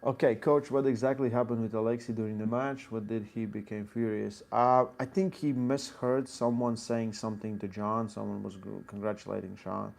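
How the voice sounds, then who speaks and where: A man's voice: on the low side, fairly even intonation, moderate pace, creaky, few pauses, darkish tone. A man with a Latvian accent, an arm wrestling coach answering viewer questions in a live Q&A, explaining technique in short bursts and reading chat questions aloud.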